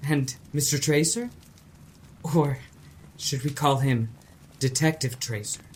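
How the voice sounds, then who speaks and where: A man's voice: medium pitch, takes it slowly, a little hiss or hum, lightly acted. A man acting a troubled, intense young student cultist in an audio drama, curt and strained. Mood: accusing. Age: young adult.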